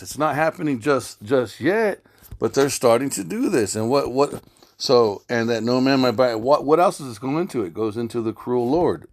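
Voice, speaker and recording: An American man teaches scripture in a blunt, street-level way. His voice sits at a middle pitch, and he swears freely while pushing listeners with rhetorical questions. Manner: blunt, profane, exhortatory. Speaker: religious teacher giving a lesson. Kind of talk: informal scripture lesson to viewers.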